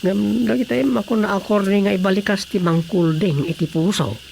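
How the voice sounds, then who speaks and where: Hapless, pleading Ilocano young suitor. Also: male voice, high-pitched, normal speed, vocal fry, darkish tone, slight background noise, low-quality recording. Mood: lovestruck. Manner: hesitant, pleading. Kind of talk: Filipino radio drama comedy acting.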